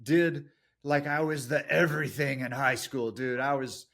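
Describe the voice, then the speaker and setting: A man's voice: medium pitch, steady tone, a little slow, gravelly, fairly bright tone. A middle-aged man with an East Texas accent, a guest telling his life story in a jokey, self-deprecating way. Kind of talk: casual storytelling interview.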